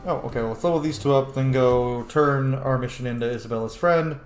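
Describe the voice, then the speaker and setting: A man's voice: medium pitch, fairly quick, reverberant, soft background music. A man giving casual, sweary and self-mocking commentary as he hosts a gaming video.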